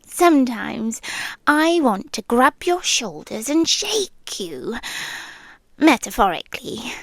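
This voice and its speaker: A British woman playing a possessive, teasing girlfriend spirit who lives in the listener's head, in soft, breathy, intimate ASMR roleplay that often drops to a whisper. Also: fairly high pitch, big pitch swings, no echo, full character voice. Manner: soft, breathy, slow, deliberate pauses. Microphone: close microphone, dry.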